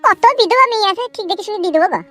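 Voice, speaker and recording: Very shrill young village daughter played by a woman. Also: rapid-fire, pushed and bright, soft background music. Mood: consoling. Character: young village daughter, scolding and consoling her father. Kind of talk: comic village cartoon dialogue.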